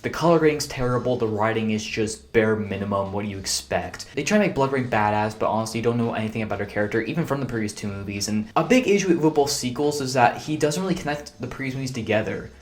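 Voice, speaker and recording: A man's voice: medium pitch, lively intonation, fast talker, hardly any pauses. A young man reviewing movies in a casual, blunt rant full of fillers, sounding exasperated. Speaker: video reviewer.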